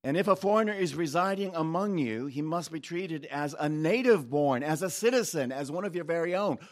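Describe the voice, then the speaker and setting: Guy who grew up in japan giving a guest sermon, lively storytelling, lots of little asides. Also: a little high, a little fast. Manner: animated storytelling with asides and laughs. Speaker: guest speaker. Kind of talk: sermon with scripture reading.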